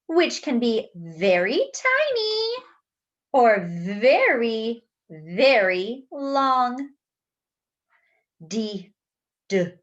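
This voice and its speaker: A woman with a high, swooping voice reads a picture book to small children. She goes slowly, stressing words carefully and slipping in playful asides. The recording is slightly dull and lo-fi. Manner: exaggerated, deliberate enunciation with playful asides to children. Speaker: children's read-aloud host, teacher-like. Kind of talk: animated picture-book read-aloud for young children.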